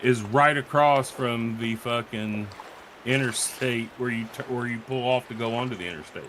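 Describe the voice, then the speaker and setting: A man's voice: mid-range voice, moderate pace, fairly bright tone. A man chatting casually on a live stream, blunt and prone to swearing. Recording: clearly noisy background.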